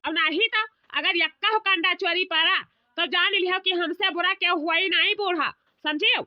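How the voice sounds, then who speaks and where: Woman voicing a very high-pitched, bossy village housewife. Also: moderate pace, highs cut off. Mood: bossy, warning. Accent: Awadhi. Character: bossy, fretful village housewife elder sister Motka. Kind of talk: comedy cartoon dialogue.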